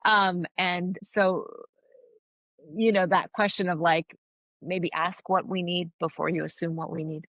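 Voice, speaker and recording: Low-voiced thoughtful woman, dry sound. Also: steady tone, a little slow, a bit bright, no echo. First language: Farsi. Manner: earnest, thoughtful, frequent restarts. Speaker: guest, anthropologist and author. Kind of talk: reflective interview answers.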